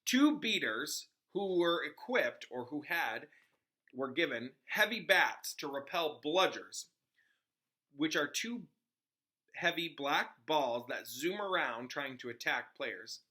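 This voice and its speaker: Bright-voiced man reading aloud. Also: on the high side, lively intonation. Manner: informal, pauses to explain to listeners. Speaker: teacher reading aloud to students. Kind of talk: children's novel read aloud with casual asides and explanations.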